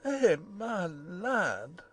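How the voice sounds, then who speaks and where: A woman voicing an ignorant, tactless old male gardener in a storybook, hoarse and shaky, with halting Yorkshire dialect. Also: lowish voice, very animated, dry recording, full character voice. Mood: humbled. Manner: halting, rustic dialect speech.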